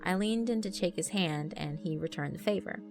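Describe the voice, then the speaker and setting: A woman narrates a first-person story in a wry, conversational, expressive way. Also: on the low side, normal speed, vocal fry. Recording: background music under the voice. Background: background music.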